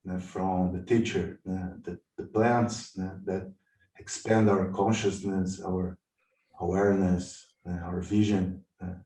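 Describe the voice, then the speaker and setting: A man from Brazil with a Brazilian Portuguese accent, a guest on a video call, speaking unscripted with many halting pauses as he searches for words in English. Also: deep voice, little pitch movement, mellow. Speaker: guest sharing about his spiritual tradition. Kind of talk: unscripted personal talk. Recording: roomy and distant from the mic.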